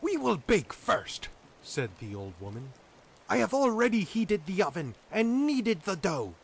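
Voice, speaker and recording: A man voicing a wicked old woman, a witch who is mocking and greedy, in a shrill, commanding voice. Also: very animated, measured pace, creaky, heavily acted. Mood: scheming.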